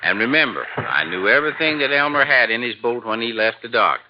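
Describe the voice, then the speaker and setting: A man's voice: medium pitch, lively intonation, brisk, noisy background, lightly acted. An actor playing a folksy, stubborn old desert fisherman in a 1950s radio drama, drawling and hesitant, heard through a dull old recording.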